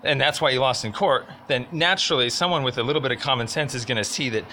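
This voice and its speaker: A man's voice: mid-range voice, fast talker, bright, forceful tone, slight background noise. A man arguing in an insistent, legalistic and wordy way, as a citizen making a complaint. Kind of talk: argumentative phone call and conversation.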